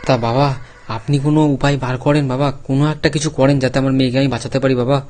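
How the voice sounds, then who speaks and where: A man's voice: mid-range voice, flat delivery, very fast, a little mellow, a little hiss or hum, lightly acted. A man voicing a worried village father in a cartoon story, agitated and pleading, repeating himself. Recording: clear music under the voice.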